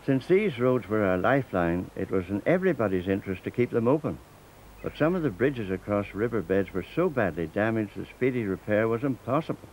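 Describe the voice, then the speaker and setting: An older man with a Northern Irish accent, reading a scripted documentary narration in a measured, steady way. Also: lowish voice, a little hiss or hum, rough recording. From Northern Ireland.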